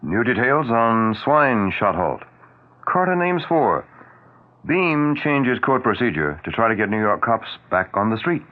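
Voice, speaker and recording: A man's voice: medium pitch, sing-song, measured pace. An American man reading the news on radio in a clipped headline style. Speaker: radio newscaster. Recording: tinny narrow-band AM radio.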